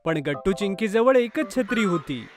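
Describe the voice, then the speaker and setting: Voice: mid-range voice, very animated, normal speed, soft background music. A narrator reading a scripted children's story.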